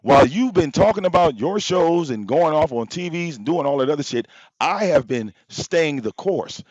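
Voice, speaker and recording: A loud-voiced man calls out a rival on his live stream, firing off profane rhetorical questions. His pitch swings all over the place, and the sound is dry and a bit dull. The mic pops now and then. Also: expressive, moderate pace, dry recording. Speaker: livestream host. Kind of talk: confrontational live-stream rant. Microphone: popping mic.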